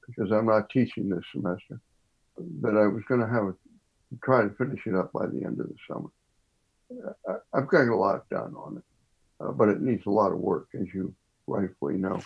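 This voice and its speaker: An older man with a husky, gravelly voice speaks as an interview guest. His phrasing is measured and a little formal. The sound is dull and lo-fi. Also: fairly low pitch, animated. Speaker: professor guest. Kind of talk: reflective interview talk.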